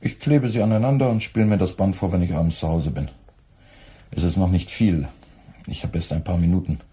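A worn-out radio editor in a radio play, curt and quietly sardonic. His voice is husky and rather low. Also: a little fast. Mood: tired. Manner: dry, terse, quietly sardonic. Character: weary young male radio editor, dry and withdrawn.

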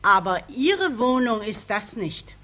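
A woman's voice: on the high side, sing-song, slow, bright, forceful tone, full character voice. An actress playing a curt, unsympathetic woman householder, brusque and dismissive, in a 1940s radio drama. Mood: brusque, suspicious. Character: curt, hostile, unsympathetic woman householder guarding her flat.

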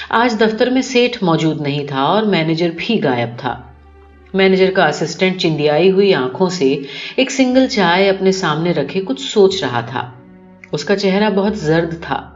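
A woman's voice: on the low side, a little mellow. A woman reading a literary short story as scripted first-person audiobook narration. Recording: dull lo-fi recording with music behind. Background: clear music.

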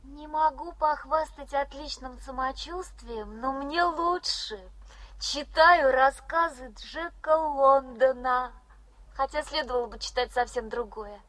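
High-voiced, earnest young woman. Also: fairly bright tone, dry recording, poor audio. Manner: formal, ornate, earnest phrasing. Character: earnest, bookish young woman patient from the far north. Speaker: radio play actress. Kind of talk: 1980s Soviet radio drama acting.

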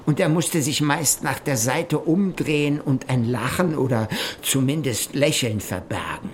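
A man giving measured, formal narration of a journal in a literary radio play. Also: medium pitch, slight background noise. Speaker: narrator reading an explorer's journal.

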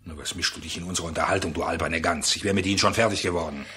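An actor playing a domineering, short-tempered trading boss in a German radio play, barking and scornful. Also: male voice, low voice, fairly even intonation, racing, bright, forceful tone. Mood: angry. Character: domineering, irascible male trading boss in the tropics.

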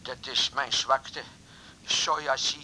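An actor in a radio drama playing an impulsive, sheepish middle-aged suitor who talks haltingly and pleadingly. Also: male voice, medium pitch, steady tone, bright, forceful tone, slight background noise, poor audio. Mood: rueful, chatty. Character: impulsive, sheepish older suitor calling on the phone. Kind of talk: 1980s Dutch radio drama acting.

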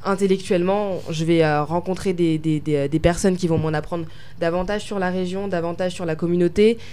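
A woman's voice: fairly low pitch, fairly even intonation, a little fast, warm tone, smooth. A young woman from France answering interview questions as a pageant winner, hesitant and full of fillers and restarts. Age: young adult.

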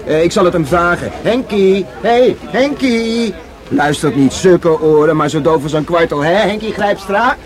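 A man's voice: high voice, animated, a little slow. An actor playing a cheeky young undercover policeman hawking at a market with slangy patter in a radio play. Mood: cheeky, teasing. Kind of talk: Dutch radio play acting. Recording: radio drama with busy street crowd behind.

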